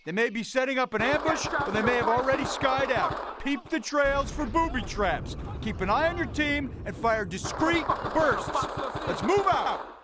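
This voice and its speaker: An actor playing a tough, gruff Marine squad leader in a war video game, barking profane orders in military slang. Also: male voice, very high-pitched, expressive, moderate pace, noisy background. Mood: firm, commanding.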